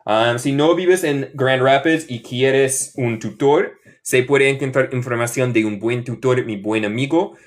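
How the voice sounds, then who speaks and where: An American man from Michigan, an English teacher, chatting casually on a livestream in halting Spanish with many fillers and switches into English. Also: medium pitch. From Michigan, USA.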